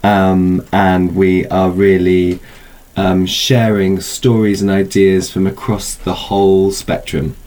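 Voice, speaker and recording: A man's voice: low voice, little pitch movement, slow pace, a little mellow. A man speaking as an event organiser in an informal interview, explaining things in a loose, hedging way. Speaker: film festival organiser, interview guest.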